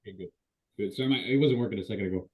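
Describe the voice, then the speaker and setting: A man introducing himself to an online class, with hurried restarts, over a video call. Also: fairly low pitch, slightly rough. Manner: quick, self-correcting restarts. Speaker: student. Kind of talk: self-introduction.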